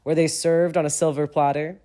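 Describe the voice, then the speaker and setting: A man voicing an exuberant teenage boy wizard who teases with an expressive delivery. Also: a little high, normal speed, pitched above the performer's own voice, lightly acted. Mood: teasing. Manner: teasing, expressive.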